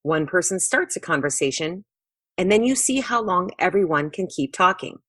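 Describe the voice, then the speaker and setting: A woman's voice: on the low side, animated, normal speed, a bit bright, no echo, studio quality. A woman voicing a young girl who organises games and explains things expressively in a children's storybook. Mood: eager, explaining.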